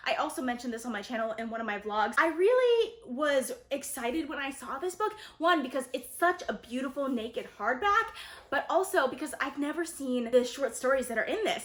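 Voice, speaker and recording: A woman's voice: high-pitched, fairly bright tone. A woman chatting casually to viewers like a vlogger, enthusiastic and gushing, with lots of emphatic repetition. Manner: enthusiastic, gushing, frequent "really" and "like". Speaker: book reviewer and vlogger. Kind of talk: casual chatty book haul talk to viewers.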